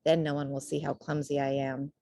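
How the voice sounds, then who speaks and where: A woman voicing an earnest, timid young female baby bat with a plaintive squeak, in a children's storybook reading. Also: flat delivery, fairly quick, vocal fry, warm tone, no room sound, studio quality. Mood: earnest, determined. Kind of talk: children's storybook read-aloud.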